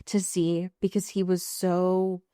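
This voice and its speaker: Woman, slightly low voice, dry close sound, casual recap, mimicked dialogue, podcast co-host. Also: flat delivery, takes it slowly, warm tone, smooth, no room sound. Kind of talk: casual chat recapping a TV show.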